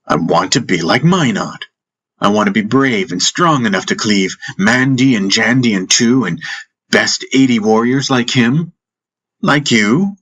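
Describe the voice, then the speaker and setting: A man's voice: on the low side, lively intonation, unhurried, a bit bright, pitched above the performer's own voice. A man voicing an earnest young boy who longs to be brave, insistent and indignant, in a fantasy audiobook with music behind. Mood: earnest, eager.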